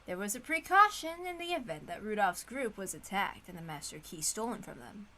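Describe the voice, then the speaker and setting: A woman's voice: mid-range voice, very animated, a little fast, sharp tone, a little hiss or hum. A woman reading a story aloud as an amateur narrator, informal and given to apologetic, self-interrupting asides.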